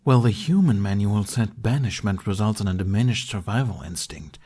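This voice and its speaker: A man voicing a sardonic, protective male dragon who argues in a deadpan, exasperated way. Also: fairly low pitch, moderate pace, husky. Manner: deadpan, argumentative.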